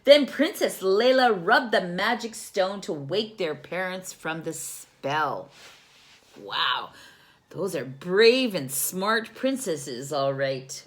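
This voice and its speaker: A woman reads a children's story with a very lively, swooping delivery at an unhurried pace. Her voice sits a little low, and she speaks like a teacher, stopping to question and explain things to her young audience. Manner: animated, teacherly, pauses to question listeners and explain words.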